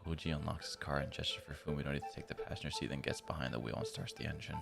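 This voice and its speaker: A man's voice: rumbling low, fairly even intonation, racing, gravelly, over loud music. A laid-back, tired man streaming a visual novel, reading it aloud and adding casual, slangy commentary. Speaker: game streamer.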